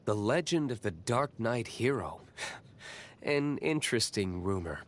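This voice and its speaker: An actor playing a brooding, aloof young nobleman vigilante in a video game, with a terse, guarded, measured manner. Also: mid-range voice, sing-song. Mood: guarded, reserved. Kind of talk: scripted video game character lines.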